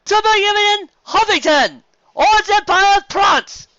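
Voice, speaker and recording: Reader doing a high loud female pony announcer, lively, shouting, dull dry audio. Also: a little slow, no room sound, full character voice. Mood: announcing. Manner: calling out results.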